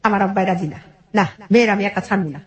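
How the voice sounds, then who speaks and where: A woman's voice: mid-range voice, fast. A middle-aged woman with a colloquial Bangladeshi accent tells her life story informally, acting out what relatives said as she goes. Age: 40s. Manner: animated retelling with quoted family dialogue.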